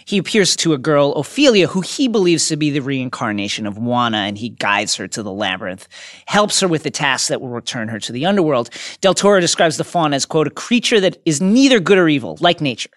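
A man's voice: on the high side, sing-song, brisk, a bit bright, dry recording, clean studio sound. A man co-hosting a podcast, explaining films in an enthusiastic way from partly prepared notes. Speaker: podcast co-host. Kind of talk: film discussion, partly prepared notes with quotes.

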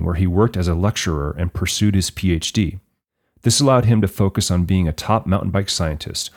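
Male podcast host, lower-pitched voice, upbeat and encouraging interviewer. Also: darkish tone.

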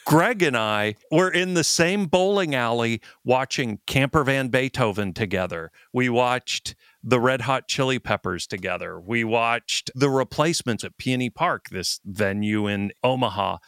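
A man's voice: medium pitch, lively intonation, measured pace, few pauses, fairly bright tone, smooth, no room sound, clean studio sound. A middle-aged man with an American accent, chatting casually as a podcast co-host. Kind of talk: casual chat.

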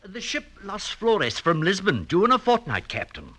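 An actor playing a corrupt, gleefully greedy colonial governor in a classic radio drama. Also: male voice, high-pitched, wildly swooping pitch, normal speed, heavy background noise. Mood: excited. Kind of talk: 1950s radio drama acting.

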